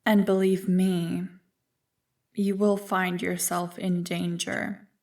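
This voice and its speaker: A woman voicing an ancient, evil primordial being in an ASMR roleplay, smug and tempting, with a deliberate, taunting delivery. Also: fairly low pitch, darkish tone, recorded from a distance. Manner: slow, deliberate, taunting, coolly amused.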